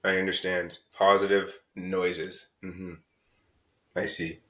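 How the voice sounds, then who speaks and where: A man's voice: deep voice, little pitch movement, takes it slowly, a bit bright. A man co-hosting a podcast, talking bluntly and heatedly, swearing freely as a parent giving advice. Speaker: podcast co-host. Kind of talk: candid podcast discussion.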